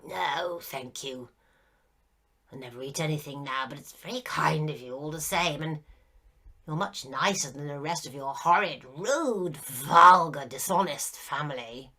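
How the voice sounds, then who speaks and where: A woman's voice: sits low, measured pace, pushed and bright, husky, exaggerated voice. A woman reading a story aloud, voicing a petulant, lonely old male ghost who turns meek and weary.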